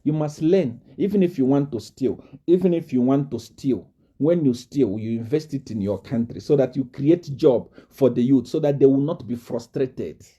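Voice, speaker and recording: A man from Zambia preaching a fiery live-stream address, repeating himself and rising to shouts and laughter. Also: medium pitch, moderate pace, breathy edge, highs cut off.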